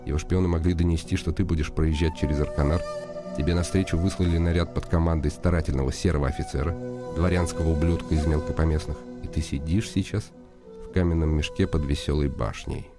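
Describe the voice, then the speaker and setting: A brooding nobleman hero in a radio play. His voice sits very low, deliberate and ironic with an impatient, commanding edge, over clearly audible music. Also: steady tone. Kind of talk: radio drama acting with inner monologue. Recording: music behind the voice.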